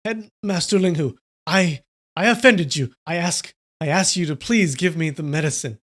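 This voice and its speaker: A man voicing a haughty male envoy of a martial arts school in a story, speaking in a deliberate, declamatory way. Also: fairly high pitch, animated. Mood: pleading.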